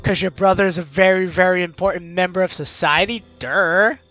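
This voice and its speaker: A video game host with an animated, teasing, exclamatory way of talking, reading aloud and commenting playfully. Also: mid-range voice, a little fast, dry recording, dull audio, soft background music. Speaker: let's-play video host.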